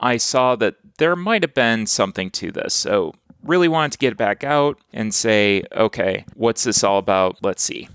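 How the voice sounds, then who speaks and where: Male podcast host, mid-pitched and smooth, steady conversational reviewer, dry close recording. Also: no room sound. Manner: steady explanatory monologue, plain and conversational. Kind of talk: solo board game review podcast.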